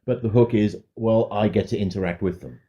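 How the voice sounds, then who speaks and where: A man's voice: lowish voice, fairly even intonation, a little fast, mellow, muffled recording. A man co-hosting a podcast and discussing things in a casual, conversational style. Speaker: podcast co-host.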